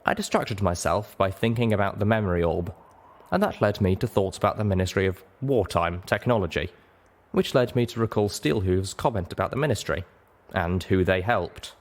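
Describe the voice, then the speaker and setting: A man reading a first-person adventure story aloud as a dramatic narrator, with expressive and deliberate phrasing. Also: deep voice. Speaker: audiobook narrator.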